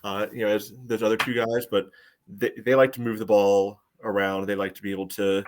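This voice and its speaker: Male podcast co-host, lower register, casual sports analysis, halting delivery full of verbal fillers. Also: little pitch movement, a little hiss or hum. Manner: hesitant, choppy phrasing peppered with "you know".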